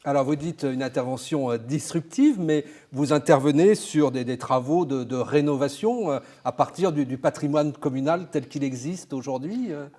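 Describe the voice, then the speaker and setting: A man's voice: mid-range voice, normal speed, hardly any pauses, dark tone. A man asking questions as an interviewer, conversational and rephrasing hesitantly as he goes.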